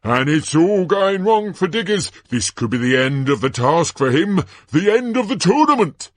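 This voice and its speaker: Bombastic showman announcer, high-pitched, very animated, drawn-out booming phrases, gushing, dry storybook recording. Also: no room sound. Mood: alarmed. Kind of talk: stage-play sports commentary read aloud.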